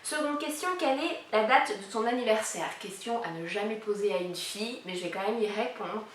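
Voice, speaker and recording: A woman's voice: medium pitch, a little fast, slight background noise. A woman in her thirties hosting a casual vlog, talking chattily with many fillers as she answers a tag's questions. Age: 30s. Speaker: YouTuber presenting a collab channel. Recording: echoey room, mic a bit far.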